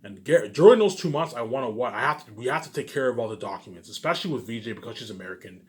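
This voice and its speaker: A man's voice: medium pitch, expressive, very fast, darkish tone, slight background noise. A man giving a rambling, unscripted vlog monologue, emphatic and repetitive, circling back over his phrases and swearing at times. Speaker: vlogger.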